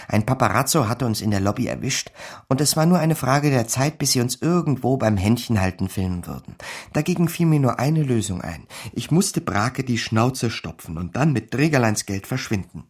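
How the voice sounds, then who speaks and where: An actor playing a laconic small-time Berlin crook who narrates his own story in a radio drama, dry and deadpan. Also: mid-range voice, moderate pace, husky. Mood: dry, wry. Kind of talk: radio drama first-person narration and dialogue.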